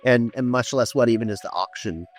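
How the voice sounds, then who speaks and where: A man with a mid-range voice explains ad strategy in a relaxed, conversational way. Faint music plays softly behind him. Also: medium pitch, a little fast. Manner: relaxed, explanatory, uses y'all. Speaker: paid traffic consultant. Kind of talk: casual business conversation.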